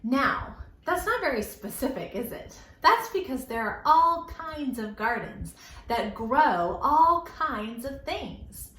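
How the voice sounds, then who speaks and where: A woman's voice: a little high, pushed and bright. A middle-aged woman hosting a storytime for young children, speaking in simple, sing-song phrases with emphatic words and questions to her listeners. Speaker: children's library storytime host. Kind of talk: children's lesson with rhymes and questions to young viewers. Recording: roomy echo with background noise.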